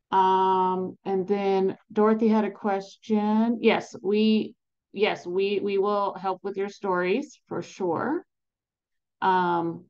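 A woman's voice: medium pitch, a little mellow. Upbeat woman on a webinar call. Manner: upbeat, encouraging, with many fillers and restarts. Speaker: advocacy program staff presenting a webinar. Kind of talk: casual webinar presentation. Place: home room behind a virtual background. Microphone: laptop webcam mic on a video call. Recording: slightly dull video call audio.

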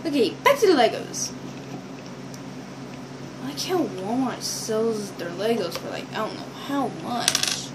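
A young teenage girl with a high voice chats to the camera in a casual, rambling vlog style. She talks slowly as she shows off her toys. The sound is homemade, with clear background noise from a device mic in a bedroom. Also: sing-song. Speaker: vlogger showing toy builds. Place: small bedroom, indoors. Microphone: built-in device mic at arm's length. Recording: noisy amateur webcam audio.

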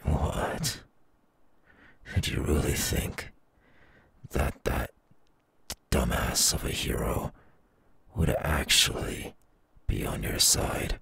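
Demon villain, extremely husky and breathy, slow, gloating, hungry menace, ASMR roleplay. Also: male voice, extremely low pitch, fairly bright tone, heavily acted.

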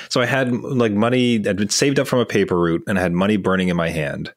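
A Canadian man with a fairly low voice, chatting on a gaming podcast. He tells stories with energy and slips into voices for the people in them. His accent comes through on certain words. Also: steady tone, a little fast, dry recording, studio quality. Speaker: podcast co-host. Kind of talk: casual chat.

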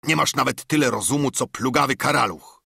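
A man's voice: medium pitch, fairly quick, vocal fry, slightly rough, no echo, clean studio sound, pitched above the performer's own voice, heavily acted. A man voicing a cunning, domineering older pirate leader who mocks and threatens his crew. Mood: threatening. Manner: mocking, threatening, loud.

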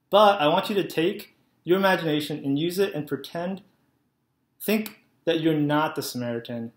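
A young man giving a children's sermon from his living room, telling a Bible story simply and often checking in with "right?". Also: a little high. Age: young adult. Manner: friendly, simple storytelling, asks "right?" to kids. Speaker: Sunday school teacher. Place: living room at home, indoors. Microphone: laptop webcam, head and shoulders. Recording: echoey room.

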